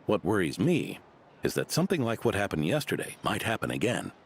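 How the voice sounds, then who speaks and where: An actor voicing an elderly, kindly knight in a video game, with a warm, measured delivery over game music. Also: male voice, lowish voice, sing-song, slight background noise. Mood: calm. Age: older.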